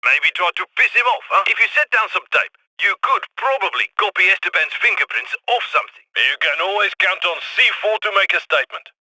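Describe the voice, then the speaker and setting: A man voicing a heist coordinator who gives brisk, commanding instructions over the radio for a video game. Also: high voice, expressive, bright, forceful tone, full character voice, poor audio. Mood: focused. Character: heist planner man giving radio orders.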